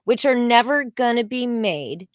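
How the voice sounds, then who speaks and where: A woman's voice: fairly high pitch, expressive, a little slow, no room sound, studio quality. A woman co-hosting a casual live-stream, giving opinionated commentary in a wry, sarcastic manner, often trailing off in fragments. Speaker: co-host of a comics commentary show.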